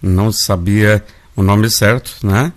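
A man's voice: fairly low pitch, fairly even intonation, slow pace. A Brazilian man hosting a live gospel radio show, folksy and calling his listeners family. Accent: Brazilian Portuguese. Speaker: radio host.

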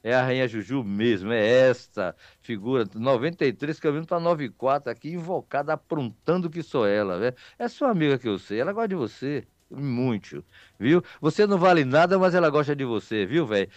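A man's voice: medium pitch, animated, a little fast, no room sound, lo-fi sound. A man with a Bahian Brazilian accent hosting local radio, chatting casually with listeners in a folksy, rambling, teasing way. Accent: Bahian Brazilian Portuguese.

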